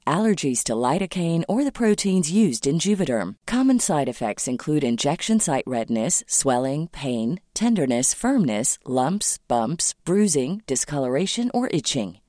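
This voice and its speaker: A woman with a very low voice reads a commercial, her pitch swooping widely. The sound is dry and close, with a brisk run through the warnings. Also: a little mellow, dry recording. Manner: smooth and brisk, listing warnings. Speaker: ad announcer. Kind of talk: read advertisement with disclaimers.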